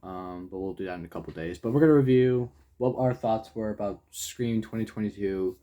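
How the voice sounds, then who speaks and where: A young man co-hosting a casual movie review chat, loose and excitable, with restarts and the odd swear word. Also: on the low side, fairly quick. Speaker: co-host of a movie review channel.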